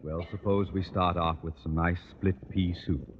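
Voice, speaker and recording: Actor as a pompous, low-voiced irascible boss. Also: male voice, fairly even intonation, natural voice. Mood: sarcastic. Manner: pompous, sarcastic, measured. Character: pompous, irascible older male school boss. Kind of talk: old-time radio sitcom acting. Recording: very noisy muffled old radio recording.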